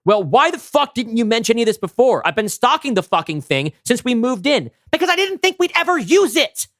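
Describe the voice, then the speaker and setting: A man's voice: extremely high pitch, big pitch swings, fairly quick, a bit bright, no echo, clean studio sound, voice pushed up, heavily acted. A man reading the part of a self-assured, defensive prepper father in his early forties in a script read, blunt and emphatic. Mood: angry, defensive. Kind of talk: amateur script table read.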